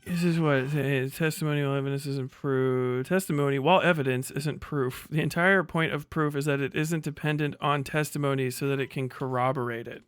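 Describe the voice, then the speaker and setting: A man's voice: medium pitch, steady tone, a little fast, hardly any pauses, smooth, dry recording. Exasperated man arguing, dry close sound. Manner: exasperated, argumentative, emphatic, rambling. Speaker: streamer reacting to a video. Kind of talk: casual reaction commentary, profane.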